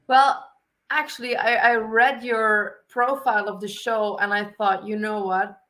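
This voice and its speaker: A woman's voice: fairly high pitch, little pitch movement, a little slow, a bit bright. Earnest woman guest. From Belgium. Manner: earnest and candid. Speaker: podcast guest. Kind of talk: casual interview talk.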